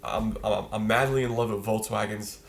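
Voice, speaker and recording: Podcast guest, 20s man from Poland, slangy and loose, a bit low with some fry. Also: fairly even intonation, moderate pace, over a noisy crowd. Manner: slangy, loose storyteller, fillers like "you know". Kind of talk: casual chat, storytelling.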